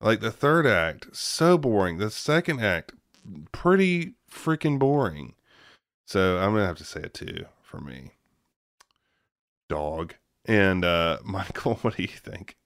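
A man's voice: fairly low pitch, animated, slow pace, fairly bright tone, dry recording, studio quality. A man hosting a film podcast, steering the casual talk and calling on each panelist in turn. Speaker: podcast host. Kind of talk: casual film review chat.

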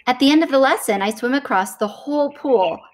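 A woman reading a picture book aloud to children in an expressive, deliberate storytelling style. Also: high voice.

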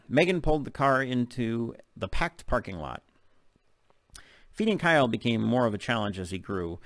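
A man's voice: medium pitch, gravelly, no echo. A middle-aged man reading a novel aloud in an audiobook narration style. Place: open living room at home. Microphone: desk microphone close in front.

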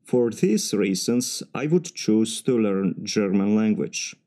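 A man with a Serbian accent giving formal, measured practice answers for a timed speaking exam. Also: fairly low pitch, animated, mellow. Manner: formal, measured, slightly stiff phrasing. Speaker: mechanical engineer practicing test answers.

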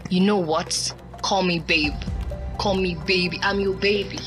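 A woman's voice: on the low side, fairly even intonation, unhurried, music in the background, close to an everyday voice. A woman playing a domineering, possessive boss in a radio drama, speaking in commands and threats. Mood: seductive, commanding. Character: menacing, domineering female boss, coercive and possessive.

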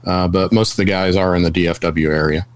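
A man's voice: low voice, fairly even intonation, fairly quick. An American man from Texas chatting casually as a guest on a podcast. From Austin, Texas. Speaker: podcast guest, convention organizer. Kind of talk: casual chat.

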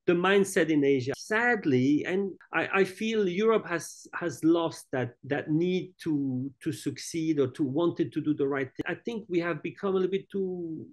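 Halting man on a video call. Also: on the high side. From born in France, raised in Italy and Switzerland. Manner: halting, many restarts and fillers. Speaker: hotel group head. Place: indoors, room with large painting. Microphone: video call webcam.

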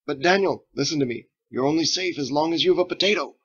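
A man's voice: fairly high pitch, quick pace, fairly bright tone, breathy edge, lo-fi sound, pitched above the performer's own voice. A man voicing a playful, theatrical cook who teases slyly and pretends at wizardry in a storybook reading. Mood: teasing. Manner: teasing, sly, hammy.

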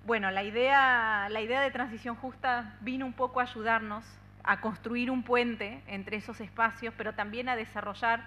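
A woman's voice: fairly high pitch, fairly even intonation, moderate pace, few pauses, bright, forceful tone, slight background noise. A woman in her thirties from Argentina with an Argentine Spanish accent, giving a conference talk at a table microphone, earnest and fluent with many "eh" fillers. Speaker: union policy adviser speaking on a panel. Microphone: desk microphone on a stand.

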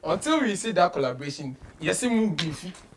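Ghanaian man hosting, higher-pitched voice. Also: very animated, normal speed, a little hiss or hum. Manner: mixes English with local slang and phrases. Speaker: radio show host, interviewer. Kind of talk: casual studio interview. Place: small radio studio office, indoors.

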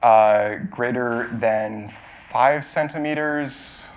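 A man in his thirties answering questions as the speaker at a technical talk, explaining in a halting way with hedges and self-corrections. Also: mid-range voice, clean tone. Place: auditorium stage at a podium.

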